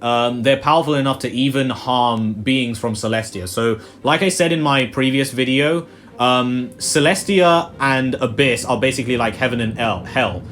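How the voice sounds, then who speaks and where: A man's voice: medium pitch, moderate pace, fairly bright tone, slight background noise. A man giving casual, rambling commentary while playing a video game, with frequent fillers and muttered asides. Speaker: gaming video host. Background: game music and sound effects.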